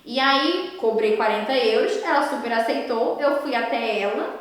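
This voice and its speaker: A young woman, telling her story at an online conference in an animated way, often checking in with the audience. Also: a little high, normal speed. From Ceará, Brazil. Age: 20s. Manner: animated storytelling, frequent tag questions to the audience. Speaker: beauty therapist speaker at an online conference. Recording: very echoey room.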